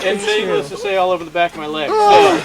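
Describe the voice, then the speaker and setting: A middle-aged man telling an informal comic story to a group, acting out the dialogue in an animated way. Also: sits high, quick pace. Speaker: campfire storyteller. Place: outdoors among a gathered crowd. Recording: noisy outdoor recording with audience chatter. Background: audience sounds, outdoor ambience.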